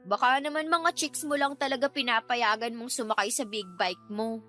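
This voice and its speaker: A young Filipina radio drama heroine, quick to banter and in love. Her voice sits high and rings bright and forward. The Filipino-accented speech is expressive and colloquial, with music underneath. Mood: tipsy, pleading.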